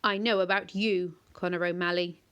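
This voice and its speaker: A woman voicing an ancient, gigantic yew-tree monster that speaks slowly and gravely, with quiet command. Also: fairly low pitch, steady tone, lightly acted.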